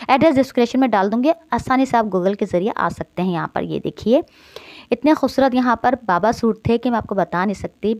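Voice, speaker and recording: A woman's voice: medium pitch, no room sound, highs cut off. A woman vlogger with a Karachi Urdu accent, talking straight to her viewers as she tours a clothing shop on her phone. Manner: lively, addresses viewers directly, prompting questions. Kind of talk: shop tour vlog, interviewing owner.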